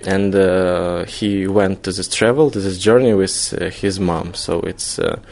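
Low, creaky Ukrainian-accented man, halting. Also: steady tone, darkish tone. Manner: halting, searching for words in non-native English. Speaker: filmmaker being interviewed. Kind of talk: conversational interview.

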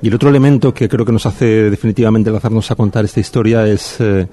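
A middle-aged man, a film director answering interview questions thoughtfully with frequent pauses and restarts. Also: fairly low pitch, fairly even intonation, mellow. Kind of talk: festival press interview.

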